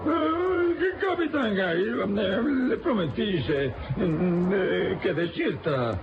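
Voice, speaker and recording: A man's voice: high-pitched, sing-song, slow pace, gravelly, loud music behind the voice, heavily acted. An actor playing a sly, stooped old man in a radio serial, hesitant, with frequent little "eh" fillers. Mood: sly, teasing. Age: older. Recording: dull lo-fi radio serial recording, crowd sounds.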